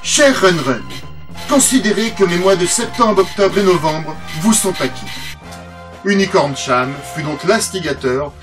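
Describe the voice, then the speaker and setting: A man's voice: high-pitched, unhurried, over music. A French man hosting a channel, narrating film history in a casual, joking way, often stumbling over names and mocking himself. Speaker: channel host and collector.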